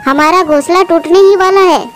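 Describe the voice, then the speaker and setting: A woman's voice: very high-pitched, normal speed. A woman voicing a frightened little female bird in a children's cartoon, pleading urgently and shrilly. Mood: panicked. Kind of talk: Hindi cartoon voice acting.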